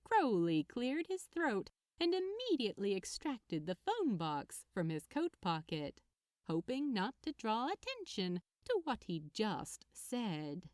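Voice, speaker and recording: A woman reading a story aloud as narrator, in an expressive scripted style. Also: fairly high pitch, takes it slowly, no echo, clean studio sound. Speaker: narrator, fan fiction reader.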